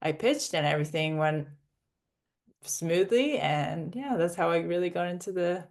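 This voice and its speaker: A woman in her twenties with a mixed international English accent, a startup founder telling her story as a podcast guest in a fluent, steady way. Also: on the low side, normal speed, gravelly. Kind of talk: conversational interview answers.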